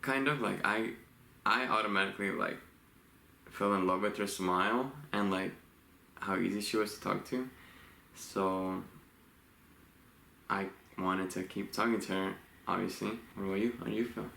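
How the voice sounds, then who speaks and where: A young man with a very low, gravelly voice chats loosely through a couple's vlog, laughing and trailing off as he tells stories. The room is echoey, with a faint hiss under the voice. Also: moderate pace, long pauses. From Los Angeles, USA. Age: 20s. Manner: relaxed, rambling, laughs often. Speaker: vlogger co-host. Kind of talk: casual couple Q&A chat.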